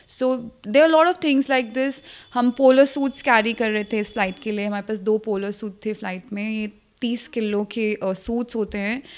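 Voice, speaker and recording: A young woman with an Indian accent answering interview questions as an airline pilot, switching between two languages. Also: medium pitch. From Jammu, India. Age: young adult. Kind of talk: interview answers mixing Hindi and English. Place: indoor living room, seated interview. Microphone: handheld reporter mic held toward the speaker.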